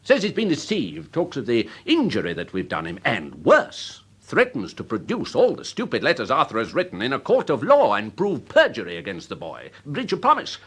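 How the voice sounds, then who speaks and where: Irascible, swooping English major. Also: male voice, mid-range voice, wildly swooping pitch, normal speed, hardly any pauses, a bit bright, breathy edge, heavily acted. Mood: exasperated. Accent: upper-class English. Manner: clipped, indignant, pompous. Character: irascible, snobbish older English gentleman major, proud uncle. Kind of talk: period radio drama acting.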